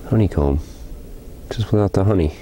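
A man casually narrating as he cleans out an old hive outdoors, thinking aloud with small pauses. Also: sits low, mellow, slight background noise. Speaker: hobby beekeeper and channel host. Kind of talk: casual narration while working. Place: outdoors beside a wooden beehive.

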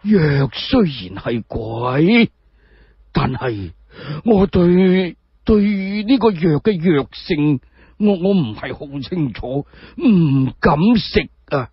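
A man voicing a frail, sorrowful old sage in Cantonese for a radio drama, drawn-out and halting. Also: on the high side, lively intonation, husky, no echo, muffled recording, heavily acted. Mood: hesitant. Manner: drawn-out, halting, repeating words.

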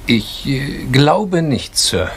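An actor playing an evasive, nervous young bank clerk who stammers and hesitates, in a German radio crime drama. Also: male voice, medium pitch, sing-song, drawn-out words, a little hiss or hum, full character voice.

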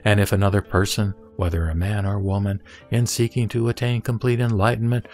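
A man acts out a serene sage teaching a disciple in a scripture audio drama. He speaks at a medium pitch, measured and solemn, with music playing behind him. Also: darkish tone, close to an everyday voice. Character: the Buddha, serene male teacher addressing his disciple.

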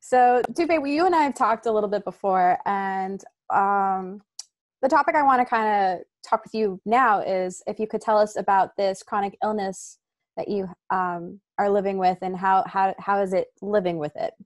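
A young woman hosts an interview over a laptop webcam from a room at home. She speaks at a medium pitch, friendly and eager, and laughs along easily with her guest. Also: normal speed, no room sound, studio quality. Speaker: host. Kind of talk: casual video-call interview. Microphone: laptop webcam on a video call.

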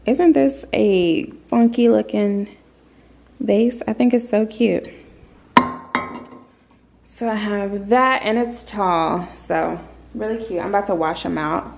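A young woman in her twenties talks to a handheld camera in her apartment, unhurried and relaxed in pace. Her voice has a gravelly, fry-tinged edge. She rambles casually with plenty of ums and repeated phrases. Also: mid-range voice, slight background noise. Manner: chatty and rambling, many ums, repeats herself. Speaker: lifestyle vlogger. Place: apartment living room and kitchen, indoors. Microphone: handheld camera on a grip, close.

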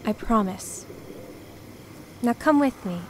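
An actress playing a kind, wry spirit woman, Death's emissary, in a radio play, gentle and understated. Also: on the high side, expressive, takes it slowly, a little hiss or hum. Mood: gentle, reassuring.

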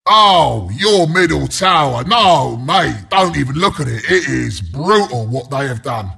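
Shouting, raspy British porcupine brute. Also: male voice, sits high, very animated, slow, full character voice. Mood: mock sympathy. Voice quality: gravelly, raspy. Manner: taunting, rowdy, blunt, mock-sympathetic asides. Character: mean, cocky, brawling porcupine beast announcer. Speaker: game announcer. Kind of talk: video game announcer barks.

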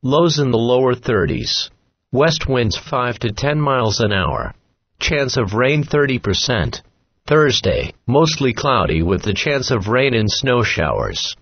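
A synthetic text-to-speech man's voice reading a scripted weather forecast as an automated radio announcer, in a flat, clipped, formulaic way. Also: medium pitch, unhurried, no room sound. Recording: dull lo-fi weather radio recording.

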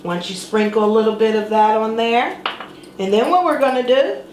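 A middle-aged woman hosts a home cooking demonstration in an easygoing, chatty way, now and then playfully singing a word. She is recorded by a camera mic set back in her kitchen, where the sound rings off hard surfaces. Cutlery and pans clink clearly behind her. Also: a little high, moderate pace, a little mellow, a little hiss or hum. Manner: chatty and playful, sings words for fun. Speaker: cooking show host. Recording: echoey kitchen with clattering dishes.

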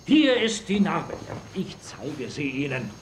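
A proud, exasperated army general in a radio play. The delivery is slow and animated, fairly high for a male voice, in a roomy space. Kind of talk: audio drama acting. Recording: roomy, with faint noise.

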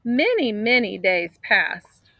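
A woman in her thirties reads a picture book aloud in an expressive, dramatic storytelling manner. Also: medium pitch, takes it slowly, no echo. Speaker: storybook reader. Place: outdoors in an open grassy area.

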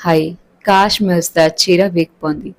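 A woman's voice: on the low side, steady tone, moderate pace, lightly acted. A woman storyteller voicing a shy, insecure, lovestruck young female college student in a wistful way. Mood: wistful longing. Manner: wistful, yearning.

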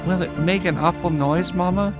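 A man's voice: high-pitched, moderate pace, pitched above the performer's own voice, natural voice. A man voicing an obedient, earnest ten-year-old pioneer boy in a storybook reading. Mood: nervous. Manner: eager, earnest. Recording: muffled recording with music behind.

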